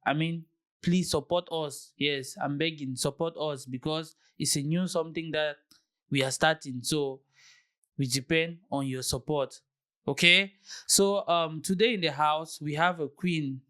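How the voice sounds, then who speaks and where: A chatty interview host with an ordinary middle pitch. The speech is loose and repetitive, circling back over phrases. The host eagerly courts viewers' support for a new channel. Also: fairly even intonation, unhurried, a bit bright. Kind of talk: casual interview hosting.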